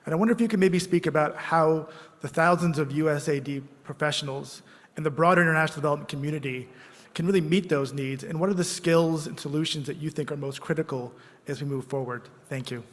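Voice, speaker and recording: A man with a slightly raised pitch asks a formal, measured question. He speaks politely and gives thanks. The voice echoes with a long reverb in a large hall. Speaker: audience member asking a question. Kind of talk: prepared question from the floor.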